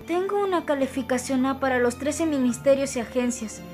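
Woman as earnest rookie policewoman, anime fan dub, high voice, Latin American Spanish accent, polite, faint background music. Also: brisk, darkish tone, natural voice. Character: earnest young female police inspector, idealistic rookie. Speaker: amateur fandub voice actor.

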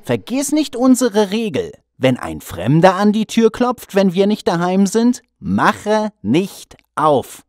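A woman's voice: mid-range voice, sing-song, slow pace, hardly any pauses, a little mellow, studio quality. A woman voicing a kindly, bossy male dwarf chief with a drawling, hesitant delivery in a children's fairy tale. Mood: cautioning. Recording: long reverb, music behind.

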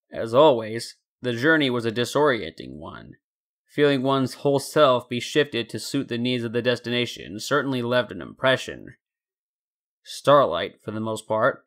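A man narrating a scripted story in a deliberate, dramatic storytelling manner. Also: mid-range voice, fairly bright tone. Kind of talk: scripted fan fiction narration.

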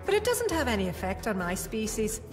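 A woman playing a calm, firm alien prison librarian in an amateur audio drama. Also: a little high, a little fast. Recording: reverberant hall with music.

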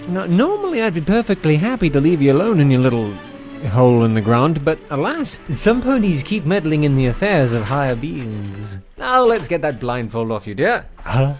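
Guy doing a cruel theatrical pony commander for an audio drama, mock sweet, pitch all over the place. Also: darkish tone, close to an everyday voice. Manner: mocking, drawn-out mock politeness. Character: gleefully sadistic, theatrical pony airship commander.

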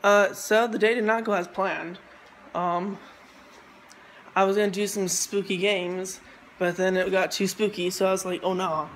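A man's voice: high voice, level tone, moderate pace, fairly bright tone, a little hiss or hum, rough recording. Tired young man vlogging on a phone. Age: young adult. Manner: mumbly, trailing off, lots of ums. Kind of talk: casual vlog chat. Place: car, mall, home. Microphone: phone at arm's length.

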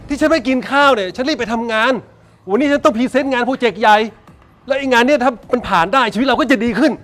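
A man's voice: very high voice, a bit bright, soft background music. An actor playing an exasperated husband who scolds his wife in a rush before leaving for work. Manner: fast, impatient scolding. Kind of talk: Thai melodrama acting.

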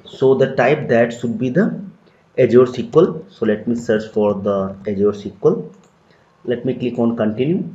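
Man explaining a software tutorial, roomy lo-fi. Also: medium pitch. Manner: steady explanatory walkthrough, repeats phrases. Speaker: tutorial instructor. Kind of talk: screen-recorded software tutorial. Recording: dull lo-fi roomy sound.

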